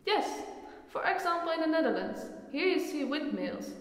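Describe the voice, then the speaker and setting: A woman's voice: high voice, big pitch swings, moderate pace. A woman playing a knowledgeable, earnest friend who patiently explains things in a scripted educational film dialogue. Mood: encouraging, instructive. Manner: explanatory, instructive. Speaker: character in a student educational film. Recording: very echoey hall-like reverberant room.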